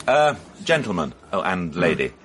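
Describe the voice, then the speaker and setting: Radio drama role: British inspector, polite but persistent, dryly ironic, swooping intonation, faint noise behind. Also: male voice, sits low, big pitch swings, fairly bright tone, exaggerated voice. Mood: patient, probing. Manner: formal, measured, dryly ironic questioning. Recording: faint background noise on archive radio broadcast.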